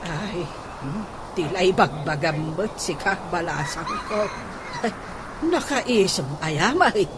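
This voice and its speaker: A woman playing an excitable older household maid in a comedic radio drama, with a melodramatic, exclaiming way of talking. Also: lowish voice, slow. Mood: gossipy, disapproving. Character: excitable older household maid fretting over unpaid wages. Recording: noisy radio drama recording.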